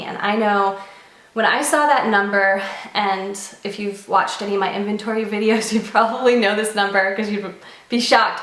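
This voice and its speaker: A woman's voice: mid-range voice, fairly bright tone, reverberant. A young woman vlogging casually to camera, nasal from a cold, chatty and earnest. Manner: chatty and earnest, with light laughs. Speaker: beauty vlogger.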